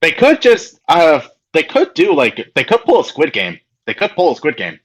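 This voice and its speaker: A man's voice: on the high side, huge pitch swings, moderate pace, slightly rough. A man co-hosting a podcast in casual chat, often repeating his phrases for emphasis. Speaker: podcast co-host.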